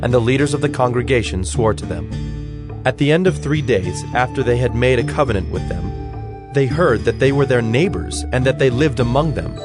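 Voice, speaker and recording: A man narrates scripture in a solemn, measured way at a medium pitch. He reads as a storyteller, with music clearly heard behind him. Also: animated. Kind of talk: scripted dramatized Bible narration.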